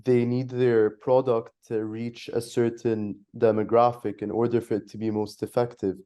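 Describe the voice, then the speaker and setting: A nineteen-year-old man giving a measured, articulate view in a student podcast discussion. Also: mid-range voice, level tone, mellow. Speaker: student panelist.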